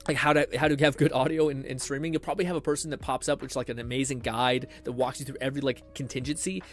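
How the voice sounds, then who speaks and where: A male streamer talks quickly and casually, rambling through explanations with blunt swearing and self-deprecating asides. His pitch sits in an average range, and faint music plays behind him. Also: fairly even intonation. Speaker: live streamer. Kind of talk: casual rambling stream talk with tutorial advice.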